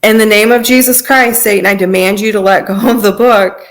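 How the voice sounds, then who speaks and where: A woman telling her personal story as a podcast guest, in a conversational, earnest and halting way. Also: medium pitch, fairly even intonation.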